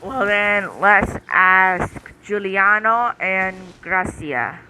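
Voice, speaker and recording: A woman giving casual, halting gameplay commentary as a gaming YouTuber. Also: medium pitch, lively intonation, sharp tone, a little hiss or hum, low-quality recording. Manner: halting, drawn-out phrasing.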